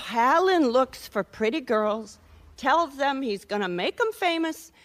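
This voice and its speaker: Hard-boiled wife, wry, brazen, worldly, wisecracking, highly expressive pitch, radio drama. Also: female voice, takes it slowly. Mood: cynical. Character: brazen, cynical, wisecracking wife hiring a private detective. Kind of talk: radio mystery acting.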